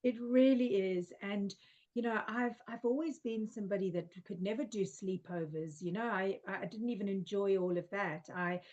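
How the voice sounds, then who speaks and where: A woman in her forties, originally from South Africa, speaks in a soft, heartfelt way. She circles around her points with fillers and restarts, at a middling pitch. The sound comes over a video call and is a touch dull and lo-fi. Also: moderate pace. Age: 40s. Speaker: guest. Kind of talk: casual spiritual conversation.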